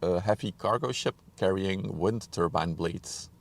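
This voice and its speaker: Low creaky guy, bit hoarse, mutters to himself setting up cameras outside. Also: a little slow. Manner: chatty, muttering to himself while setting up gear. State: slightly hoarse from a cold. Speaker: ship-spotting livestreamer. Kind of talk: casual live commentary. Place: outdoors on a riverbank.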